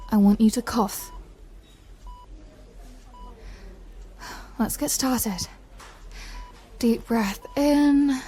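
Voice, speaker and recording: A woman acts as a gentle recovery-room nurse, speaking very slowly and softly. Her voice is fairly high yet dark and mellow, with patient reassurance for an ASMR roleplay. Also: slightly rough, exaggerated voice. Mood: gentle, reassuring. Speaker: voice actor in an audio roleplay. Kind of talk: soft ASMR comfort roleplay.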